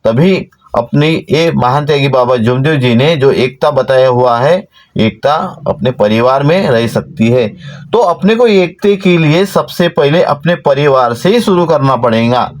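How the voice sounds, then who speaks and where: A man, preaching earnestly to his followers. His voice sits in a mid range and climbs higher when he presses a point home. He talks from inside a parked van. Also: expressive, unhurried. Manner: earnest, exhorting, rises in pitch to stress points. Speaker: devotional channel host. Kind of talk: informal devotional talk to followers.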